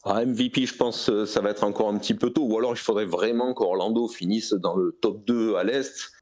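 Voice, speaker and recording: A man's voice: lowish voice, fairly even intonation, brisk, darkish tone. A man calling in to a sports show, from near Aix-en-Provence, speaking hesitantly with many fillers. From near Aix-en-Provence, France. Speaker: caller. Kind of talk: phone-in chat.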